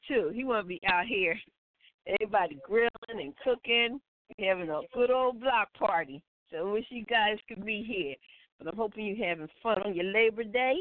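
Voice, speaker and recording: Over a thin, dry phone connection, a woman presents a music show. Her voice sits at an ordinary middle pitch. She is informal and chatty, often repeating a phrase as she introduces things like a casual radio host. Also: dry recording. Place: outdoors at a block party. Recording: tinny phone line.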